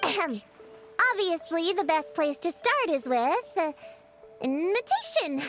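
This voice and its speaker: A prim young know-it-all girl from a video game, with a high voice. She sounds dry, skeptical and a bit self-important over clearly audible music. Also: very animated, unhurried, a bit bright, full character voice. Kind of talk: video game character acting.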